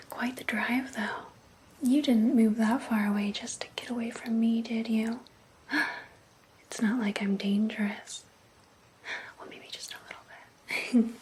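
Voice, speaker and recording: A woman playing an obsessed, jealous vampire ex-girlfriend in an ASMR roleplay, speaking in an intimate, breathy, coaxing whisper into a close microphone. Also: on the high side, darkish tone, slight background noise. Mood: needy, probing. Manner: slow, intimate, passive-aggressive, coaxing.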